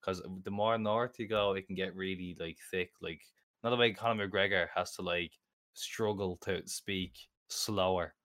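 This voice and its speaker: A man's voice: deep voice, fairly even intonation, unhurried, a bit bright, no echo, clean studio sound. A young man with a Dublin Irish accent, chatting casually as podcast co-host and rambling with lots of "like"s. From Ireland. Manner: rambling, self-interrupting with many "like"s. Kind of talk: casual chat.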